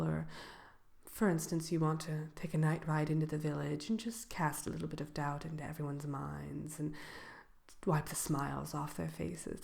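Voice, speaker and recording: A woman playing a polite, slyly malicious wicked witch selling brooms in a soft, hesitant, unhurried ASMR roleplay. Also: sits low, creaky. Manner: hesitant, unhurried, genteel shopkeeper patter. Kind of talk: ASMR roleplay, soft-spoken and partly whispered.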